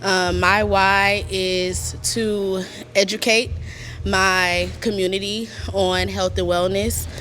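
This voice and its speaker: Bright-toned young woman, earnest panelist. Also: medium pitch, flat delivery, soft background music. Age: young adult. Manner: earnest, filler-heavy, deliberate. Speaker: chiropractor on a panel. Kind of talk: panel talk.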